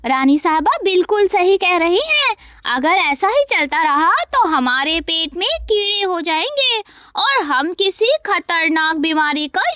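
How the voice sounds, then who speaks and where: Woman voicing a high-pitched sly female cartoon fox. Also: pushed and bright, no echo, heavily acted. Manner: cunning, wheedling, insistent. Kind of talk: Hindi animated moral story acting.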